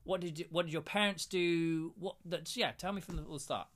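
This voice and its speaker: A man's voice: on the high side, fairly even intonation, a little fast, a bit bright. Chatty man hosting an interview. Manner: chatty, meandering, lots of fillers. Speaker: interviewer, host. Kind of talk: casual interview chat.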